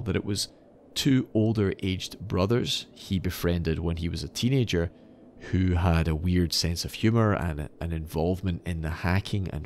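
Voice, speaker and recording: A man hosting a podcast, telling stories in a semi-scripted, articulate and measured explanatory way. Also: low-pitched, vocal fry, a little mellow, a little hiss or hum. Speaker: podcast host. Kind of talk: semi-scripted storytelling narration.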